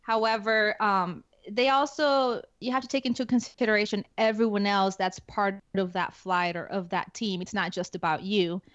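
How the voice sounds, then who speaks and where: A woman's voice: medium pitch, steady tone, moderate pace, hardly any pauses, a bit bright, no echo. A young adult woman with a Spanish accent, a guest in an interview, talking casually with many fillers and restarts. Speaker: guest, security forces NCO. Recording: dull lo-fi dry sound.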